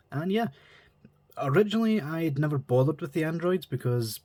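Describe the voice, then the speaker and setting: A man talks in a slightly low voice that sounds stuffy and congested from a cold. He rambles in a loose, chatty way, like a hobbyist reviewing a kit at home. The sound is dry and close, with no room echo. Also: darkish tone. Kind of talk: casual chat.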